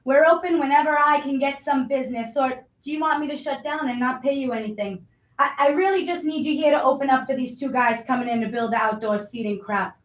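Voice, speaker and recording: A woman's voice: high-pitched, a little fast, lightly acted. A woman playing an abrasive, impatient, foul-mouthed restaurant boss in a staged reading, brash, blunt and badgering. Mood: bossy, grumbling. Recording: roomy echo.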